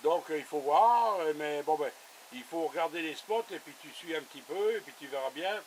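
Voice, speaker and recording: A man's voice: a little high, lively intonation, moderate pace, slight background noise, poor audio. A man chatting casually as an amateur radio operator, in a colloquial, easygoing way. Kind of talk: casual radio contact chat. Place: radio station with a camera running.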